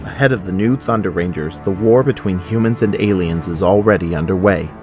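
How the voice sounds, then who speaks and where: A man's voice: low-pitched, brisk, a little mellow, faint music behind. A man reading scripted narration for an audio drama.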